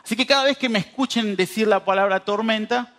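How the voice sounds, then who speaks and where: A man's voice: high voice, a little fast, a bit bright. A man of thirty-five with a northern Uruguayan border accent preaching informally, speaking straight to his listeners and repeating phrases for emphasis. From northern Uruguay, near the Brazilian border. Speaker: preacher at a youth church meeting. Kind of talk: conversational sermon.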